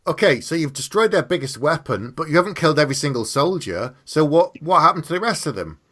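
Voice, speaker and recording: A man's voice: on the high side, big pitch swings, fast talker, a bit bright. A middle-aged man hosting a podcast in a casual, explanatory way, sometimes acting out imagined remarks. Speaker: podcast host. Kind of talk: casual chat about books and films.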